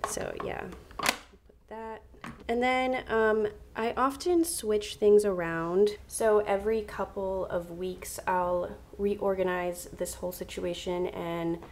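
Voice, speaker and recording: A woman's voice: medium pitch, fairly even intonation, takes it slowly, slight background noise. A young woman vlogging casually from her vanity desk at home, sounding nasal from a bad head cold, chatty and enthusiastic. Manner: chatty, enthusiastic, uses fillers like um and like. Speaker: beauty vlogger. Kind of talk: casual product walkthrough.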